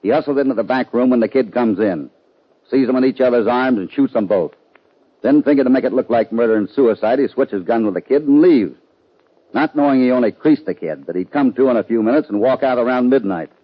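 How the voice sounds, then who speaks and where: An actor playing a steady, commanding cowboy hero in a 1950s radio western, speaking in a firm, terse, authoritative way. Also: male voice, medium pitch, a little fast, husky, natural voice. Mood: urgent. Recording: dull, lo-fi 1950 radio recording.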